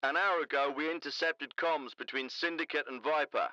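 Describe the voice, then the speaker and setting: A man's voice: a little high, normal speed, pushed and bright, dry recording, lo-fi sound. A man acting as a determined special forces captain, speaking bluntly and urgently through a military radio filter in a video game. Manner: blunt, urgent, matter-of-fact. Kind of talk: video game cutscene dialogue, radio report. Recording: band-limited military radio transmission.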